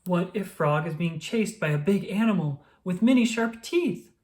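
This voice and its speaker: Reader doing a worried little storybook toad guy, super excitable, voice swings all over the place. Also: medium pitch, normal speed, pitched above the performer's own voice, close to an everyday voice. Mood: worried, panicked. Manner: worried, exclamatory. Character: fretful, excitable male toad, anxious loyal friend. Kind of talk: children's storybook read-aloud.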